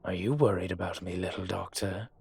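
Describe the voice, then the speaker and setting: A man playing a suave, teasing dragon mafia boss in an intimate roleplay audio, speaking deliberately with a husky voice. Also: sits low, steady tone, no echo, exaggerated voice. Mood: amused, teasing. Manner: smooth-talking, deliberate, teasing.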